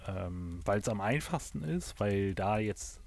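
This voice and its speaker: A man's voice: on the low side, expressive, slow, creaky. A man hosting a casual podcast chat among friends, leading the talk with many hesitations and fillers. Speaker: podcast host.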